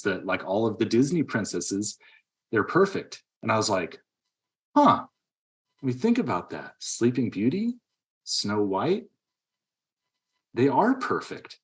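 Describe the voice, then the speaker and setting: Low-pitched man chatting about films. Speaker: podcast co-host. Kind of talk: casual movie review chat.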